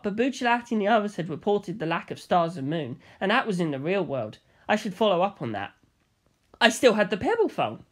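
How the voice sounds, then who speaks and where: Expressive storyteller with very lively pitch. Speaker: audiobook reader. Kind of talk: first-person story narration, expressive reading.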